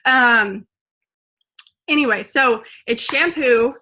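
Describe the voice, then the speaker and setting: A woman hosting a video call, making an enthusiastic, persuasive pitch with plenty of fillers. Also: slow pace, sharp tone, poor audio. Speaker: host.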